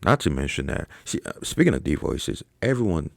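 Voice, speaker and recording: A man's voice: rumbling low, big pitch swings, fairly quick, creaky, dry recording. A young man talking casually to camera as a fitness coach, profane and slangy, with lots of fillers and "right?" tags. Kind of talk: casual ranking commentary to camera.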